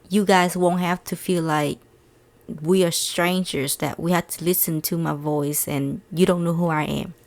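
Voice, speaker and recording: A woman's voice: on the low side, darkish tone. A woman from Vietnam with a Vietnamese accent and a light Southern US twang, earnestly hosting a solo podcast in slightly non-native English. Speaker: podcast host.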